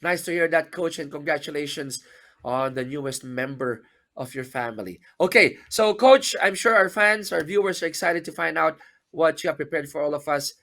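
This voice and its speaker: Upbeat man hosting a webinar. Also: normal speed. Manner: upbeat and emphatic, with big swings of pitch and loudness. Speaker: webinar host. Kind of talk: lively webinar hosting, mixing in Tagalog.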